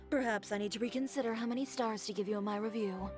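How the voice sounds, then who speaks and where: Cool young female designer, played by a woman: self-assured, blunt, mid-pitched, anime game delivery over music. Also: a little fast, lightly acted. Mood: skeptical. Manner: composed, blunt, dry. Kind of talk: anime-style video game voice acting.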